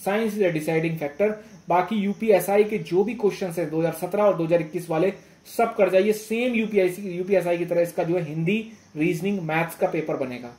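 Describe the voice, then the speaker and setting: A man's voice: high-pitched, normal speed, darkish tone. A man giving informal exam guidance as a teacher, instructive, repeating phrases and often checking that listeners follow. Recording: echoey room.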